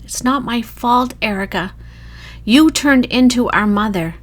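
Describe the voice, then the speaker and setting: A woman's voice: a little high, unhurried, a crowd in the background, pitched above the performer's own voice, natural voice. A woman playing a grieving, guilt-ridden drunken woman who pleads in a strained, emotional voice in a horror story. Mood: desperate, defensive.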